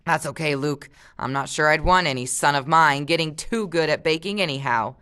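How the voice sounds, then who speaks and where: A woman's voice: low-pitched, moderate pace, fairly bright tone, smooth. A woman reading aloud as a plain-spoken, old-fashioned father of sons, with a folksy manner. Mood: dismissive.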